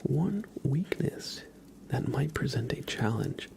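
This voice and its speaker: A man hosting an ASMR livestream in a hushed, breathy whisper, chatting casually with frequent pauses, close to the microphone. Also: medium pitch, big pitch swings. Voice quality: breathy whisper with creaky voiced patches. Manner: unhurried, hushed, pausing often. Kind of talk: whispered ASMR, casual tarot card reading and chat with viewers.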